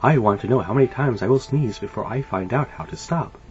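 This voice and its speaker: A hapless, superstitious storybook woman, played by a man, speaks in a raised, very expressive voice. The pitch moves around a lot. The recording is a little dull, with music underneath. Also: fairly quick, slight background noise, close to an everyday voice. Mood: determined. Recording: dull lo-fi sound.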